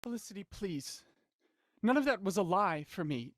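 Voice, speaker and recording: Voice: medium pitch, sing-song, normal speed, dry recording, studio quality. An actor playing a desperate, possessive writer in an audio drama, with a theatrical, pleading way of talking.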